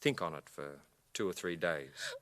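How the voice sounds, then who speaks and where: A man's voice: very deep voice, measured pace, a bit bright. An actor in a 1980 radio drama playing a stern village man with a blunt, lecturing manner. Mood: firm. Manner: blunt, didactic.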